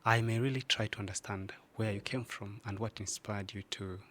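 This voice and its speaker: A man hosting an interview, asking measured questions. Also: on the low side, fairly even intonation, a bit bright. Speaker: host.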